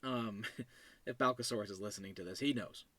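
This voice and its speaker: A man, around his thirties or older, talking casually and haltingly as a podcast host, rambling in a self-deprecating, chuckly way. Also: on the low side, fairly bright tone, no echo. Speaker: podcast host and writer of an audio drama series. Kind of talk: casual rambling solo commentary.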